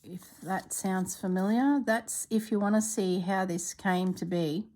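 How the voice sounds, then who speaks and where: A woman narrating her crafting work in a soft, relaxed voice, sometimes dropping to a whisper, congested and clearing her throat. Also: medium pitch, a little slow. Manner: soft-spoken, often drops to a whisper while working. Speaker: craft video maker.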